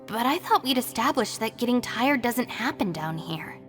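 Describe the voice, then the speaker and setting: A woman's voice: on the high side, animated, moderate pace, soft background music, natural voice. A woman playing a cautious, practical young adventurer in an anime game dub, speaking earnestly and carefully. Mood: concerned.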